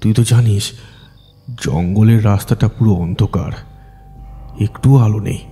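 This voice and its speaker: Horror audio story: frightened villager played by a man, deep voice, halting suspenseful delivery, long reverb. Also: warm tone, soft background music. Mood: fearful, suspenseful. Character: frightened village man recounting a night of ghostly terror.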